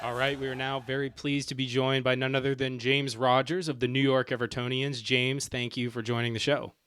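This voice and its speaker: A man's voice: mid-range voice, level tone, brisk, noisy background. A man hosting a podcast in a conversational interview style. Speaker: podcast host.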